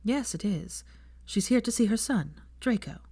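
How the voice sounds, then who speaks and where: A woman voicing a protective, frank young woman in a storybook reading, earnest and gently firm with a child. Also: mid-range voice, animated, measured pace, husky, no room sound, voice pushed up. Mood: gentle, proud.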